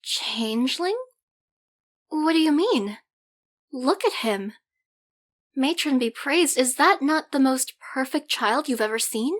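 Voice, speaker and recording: A woman playing an eager, cheeky, soft-hearted young trainee in an audio roleplay, girlish and earnest. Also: sits high, expressive, slow pace, pauses often, exaggerated voice. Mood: awed, adoring.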